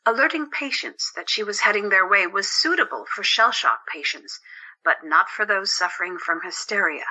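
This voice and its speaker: A woman's voice: mid-range voice, lively intonation, moderate pace, bright, forceful tone, low-quality recording. A woman narrating a scripted story as the narrator of an audio drama.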